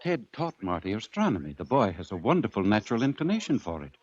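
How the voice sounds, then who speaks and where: An actor playing a gentle, earnest professor in a 1940s radio drama, with a mild, measured, precise delivery. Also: male voice, lowish voice, big pitch swings. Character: gentle, earnest male professor, calm and scholarly. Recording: muffled old radio recording.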